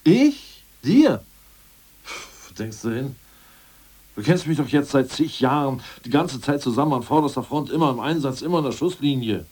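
An actor playing an excitable, blustering ousted party official in a 1980s radio play, quick to take offense. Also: male voice, mid-range voice, breathy edge. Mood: indignant. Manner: indignant, blustering.

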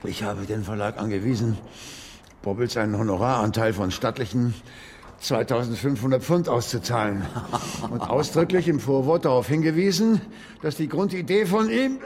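An actor in a German radio drama playing an elderly, proud British author and fervent spiritualist, theatrical and halting when agitated. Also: male voice, medium pitch, darkish tone, slight background noise, lightly acted. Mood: indignant.

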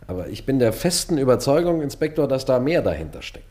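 An actor playing a dogged, brooding police sergeant who tells of his investigation in a measured, sober way in a German radio crime drama. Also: mid-range voice, very animated, darkish tone. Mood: insistent.

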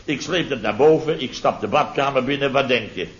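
An actor playing a blustering, sarcastic contractor boss in a radio comedy, theatrical and full of rhetorical tags. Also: male voice, mid-range voice, fairly quick, slight background noise, close to an everyday voice. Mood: exasperated, amused. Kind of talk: late-1960s Dutch radio comedy acting. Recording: muffled vintage radio recording, audience behind.

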